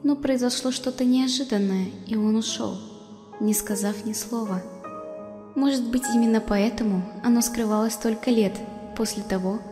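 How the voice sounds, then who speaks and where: A woman voicing the gentle, wistful ghost of a motherly monster in a comic dub, speaking tenderly. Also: fairly high pitch, moderate pace, close to an everyday voice. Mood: tender, puzzled. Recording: long echoing reverb under music.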